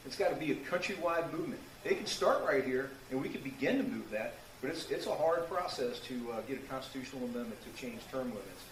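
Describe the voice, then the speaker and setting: A man giving a brisk, firm answer at a candidate forum, ending on a rallying note. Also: mid-range voice. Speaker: political candidate. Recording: echoey room, distant mic, noisy.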